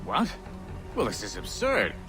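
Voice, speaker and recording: Pompous colonial statesman, swooping pitch. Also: huge pitch swings, measured pace, music in the background. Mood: indignant. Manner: formal, theatrical, blustering. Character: pompous older colonial statesman, indignant then remorseful. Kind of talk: dramatic video game acting.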